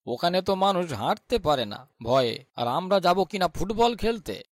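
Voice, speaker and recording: A man's voice: high voice, big pitch swings, normal speed. A man playing a timid, grumbling older villager in a cartoon, exclaiming and plaintive. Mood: alarmed. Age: middle-aged. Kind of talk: animated cartoon dialogue.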